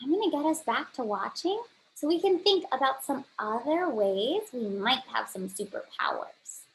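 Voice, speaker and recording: A woman's voice: high voice, wildly swooping pitch, moderate pace, creaky, a little hiss or hum, rough recording. A woman teacher introducing a read-aloud to young children, explaining simply and with emphasis.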